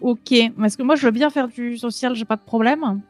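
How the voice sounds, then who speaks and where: A woman's voice: on the high side, normal speed, a little mellow, faint music behind. A woman playing a tabletop role-playing game, asking question after question and often restarting her sentences. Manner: questioning, hesitant, many restarts. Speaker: player. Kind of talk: casual play at a tabletop game.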